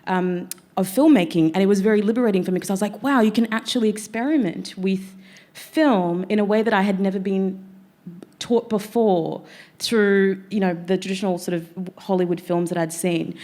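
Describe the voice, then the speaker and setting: A woman's voice: mid-range voice, a little mellow. A woman in her thirties, raised in Zambia, moderating a panel discussion with reflective, conversational talk. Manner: reflective, conversational, quick bursts of speech.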